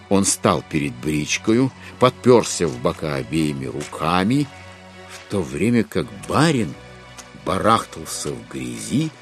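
Guy narrating a classic novel, lowish voice, lots of melody, bit of music behind. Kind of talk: expressive literary audiobook narration.

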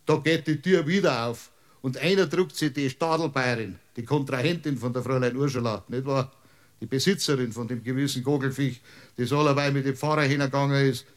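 A man's voice: fairly high pitch, lightly acted. A man playing a rambling Bavarian village mayor. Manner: rambling, long-winded, stubbornly literal. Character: evasive, folksy village mayor testifying as witness. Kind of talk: radio play acting.

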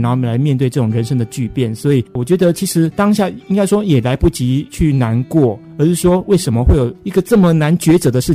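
A man's voice: mid-range voice, dark tone. A man with a Taiwanese accent hosting a conversational radio interview, asking probing questions. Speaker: radio host.

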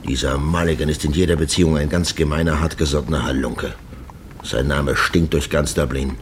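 A scornful, blustering older-generation father in an old radio drama. His voice is extremely deep and gruff, his talk sardonic and abusive. There is faint noise on the recording. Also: fairly even intonation, fairly quick. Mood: indignant. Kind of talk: 1960s German radio play acting.

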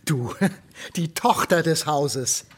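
An actor playing a stern, sardonic middle-aged father in a German radio drama, with a husky voice. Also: a little high, fairly bright tone, heavily acted. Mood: sardonic, accusing. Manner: stern, sarcastic, deliberate.

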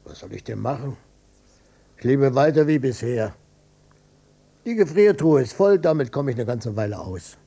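An older man playing a stubborn, defensive widowed father in a radio drama, blunt and colloquial, with a gravelly, husky voice. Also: medium pitch, expressive, moderate pace, pauses often, mellow. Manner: blunt, colloquial, swinging between offhand and touchy. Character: gruff widowed old father, stubborn, defensive, matter-of-fact.

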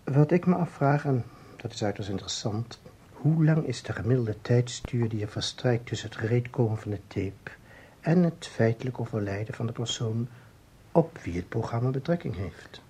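A man playing a cool, exacting investigator in a radio drama, speaking in a measured, precise way with a husky tone. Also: mid-range voice, animated, mellow. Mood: probing. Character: probing, methodical male investigator, cool and exacting. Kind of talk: Dutch radio drama acting.

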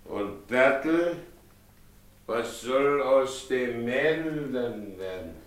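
Slow, brooding Silesian carter. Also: male voice, medium pitch, expressive. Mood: weary, subdued. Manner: halting, heavy, brooding. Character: brooding, wounded married carter, stubborn and weary. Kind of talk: naturalistic German radio play acting.

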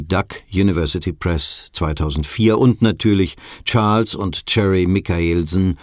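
A pedantic male narrator gives mock-academic documentary narration. His voice is fairly low, formal and precise, recorded very dry and close. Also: dry recording. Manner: formal, matter-of-fact, precise.

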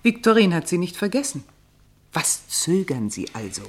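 An actress playing a worldly, wry confidante who speaks with knowing formality in a radio drama. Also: female voice, lowish voice, very animated, normal speed, slightly rough. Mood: wry, prodding. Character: worldly, wry female confidante giving frank advice. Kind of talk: German radio drama acting.

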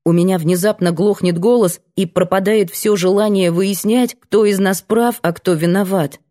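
A woman's voice: medium pitch, steady tone, normal speed, mellow. A woman reading a first-person novel aloud as scripted audiobook narration.

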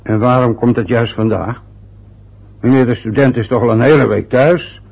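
Actor doing a grumpy sarcastic father, slightly raspy, dull lo-fi old radio sound. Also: mid-range voice, moderate pace, darkish tone. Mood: gruff. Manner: gruff, sarcastic, resentful. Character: grumpy bitter middle-aged father. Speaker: father, church sexton. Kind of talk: radio play acting.

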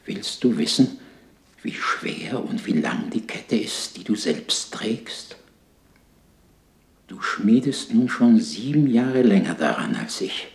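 An actor playing the tormented ghost of a dead miser in a 1960s German radio drama, solemn and lamenting, half-whispering at times. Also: male voice, lowish voice, very animated, takes it slowly, creaky, exaggerated voice. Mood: tormented, mournful. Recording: echoey 1960s radio play recording.

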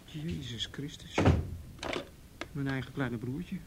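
A man's voice: mid-range voice, slow pace, heavy background noise. A man acting in a radio play as a patient, principled police officer on duty, in naturalistic dialogue. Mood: weary.

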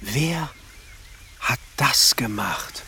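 Radio play role: rapt craftsman, slow, halting, theatrical, highly animated pitch, reverent hush. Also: creaky, slight background noise, exaggerated voice. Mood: hushed reverence. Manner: reverent, halting, theatrical, often dropping into whisper. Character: awestruck male glassmaker rapturously contemplating a painting. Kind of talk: German radio play monologue. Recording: loud music behind the voice.

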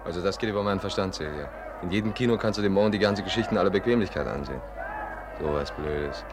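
A man's voice: low voice, fast talker, crowd noise, background noise. An actor playing a grumbling, sarcastic private detective in his thirties in a 1950s radio play, with a dry, sardonic manner. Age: 30s.

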